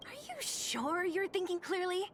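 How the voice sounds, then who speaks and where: A woman's voice: very high-pitched, normal speed, fairly bright tone, slight background noise. An actress voicing a blunt, sarcastic young female fighter in video game dialogue. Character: tough, exasperated young female underworld fighter.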